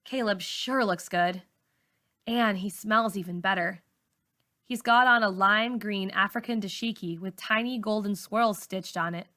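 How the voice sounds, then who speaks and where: A woman reads a young people's story aloud like a teacher to a class. Her voice is bright and forceful, at an average pitch. The delivery is expressive and deliberate.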